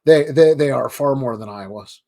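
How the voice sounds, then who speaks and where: A man's voice: medium pitch, normal speed, gravelly, warm tone. Chatty man with a Baltimore accent. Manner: jokey, chatty, trips over words. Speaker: host. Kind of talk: casual interview over video call. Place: home office with framed pictures. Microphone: webcam video call.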